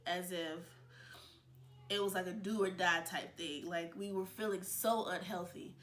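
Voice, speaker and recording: A woman's voice: on the low side, steady tone, unhurried, a bit bright, slight background noise. A woman with a stuffed-up, congested voice, hosting her own video channel in a casual, chatty way with lots of fillers and restarts. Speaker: vlogger, channel host. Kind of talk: casual chat to camera.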